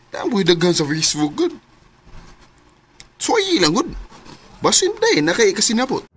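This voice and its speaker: Easygoing, animated male drinking buddy. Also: high-pitched, measured pace, a little hiss or hum, exaggerated voice. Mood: cheerful coaxing. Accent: Cebuano. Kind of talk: Cebuano radio drama acting.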